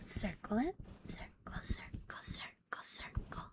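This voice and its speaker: A woman streaming ASMR in a soft, breathy, often whispered voice, playful and intimate, close to the microphone. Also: slow pace. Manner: playful, giggly, intimate, lingering on repeated words. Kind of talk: ASMR roleplay with casual rambling to stream viewers.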